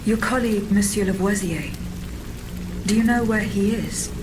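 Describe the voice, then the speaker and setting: A woman's voice: medium pitch, moderate pace, background noise, lightly acted. Actress as cool, composed automaton bodyguard woman. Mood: cool, dutiful. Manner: calm, terse, formal. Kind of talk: dramatic video game cutscene acting.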